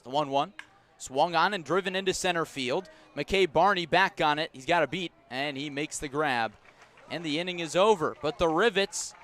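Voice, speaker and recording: A man doing live baseball play-by-play for radio, describing each detail in a measured way and punching up the action. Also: on the high side, a bit bright. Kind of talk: live sports commentary. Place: outdoor ballpark broadcast.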